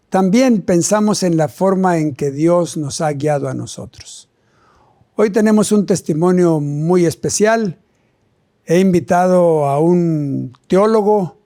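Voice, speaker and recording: A man's voice: fairly high pitch, mellow. A man hosting a televised interview, asking short follow-up questions and echoing his guest's answers. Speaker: interviewer and show host. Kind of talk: warm conversational TV interview.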